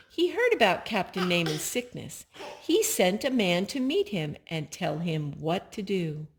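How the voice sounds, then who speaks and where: An older woman reads a story aloud for small children. Her delivery is slow and deliberate, with simple phrasing and a pitch that rises and falls expressively. There is a little noise behind her. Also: fairly bright tone. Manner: simple, deliberate phrasing with repetition for young listeners.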